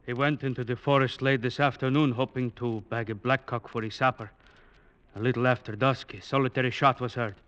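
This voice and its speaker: An actor as a grave village magistrate, mid-pitched. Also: male voice, fairly even intonation, fairly bright tone, lightly acted. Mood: sober, grave. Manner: deliberate, formal, sober. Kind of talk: 1940s-50s radio drama acting. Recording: dull old radio drama recording.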